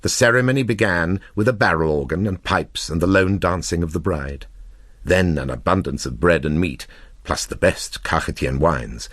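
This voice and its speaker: Male narrator for literary fiction: low, slightly husky voice, measured and expressive, with deliberate pauses. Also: dry recording, clean studio sound. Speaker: narrator reading a short story.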